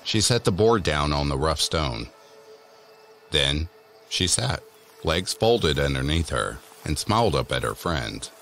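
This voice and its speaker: A man's voice: rumbling low, very animated, measured pace, a bit bright, slight background noise. A man narrating a scripted horror story as the storyteller of an audio drama.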